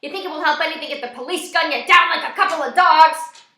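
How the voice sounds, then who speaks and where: A woman's voice: high voice, quick pace, sharp tone, pitched above the performer's own voice. A woman voicing a mean, indignant old lady from a storybook, scolding in a harsh, emphatic way. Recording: roomy, echoing space.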